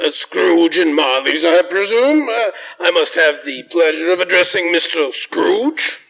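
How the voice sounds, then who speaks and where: A man playing a fussy, polite gentleman lawyer in a radio drama, hesitant and stammering with very formal speech. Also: a little high, expressive, slow pace, vocal fry, exaggerated voice, low-quality recording. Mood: flustered, courteous.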